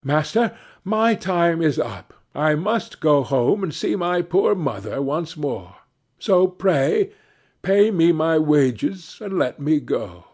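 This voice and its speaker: Naive, cheerful young servant for a storybook , played by a man: exclaiming, higher-pitched, lively swoops. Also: slow, full character voice. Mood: earnest. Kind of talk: fairy tale storybook reading.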